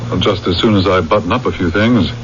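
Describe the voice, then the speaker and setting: A man's voice: deep voice, fast talker, a little hiss or hum, lightly acted. An actor playing a polite but wary bachelor bank clerk who tells his own story wryly in a 1970s radio mystery. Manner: polite, hesitant with the customer, wry in narration. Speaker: actor and first-person narrator in a radio mystery. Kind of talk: 1970s radio drama acting, noir narration. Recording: muffled vintage radio recording with music.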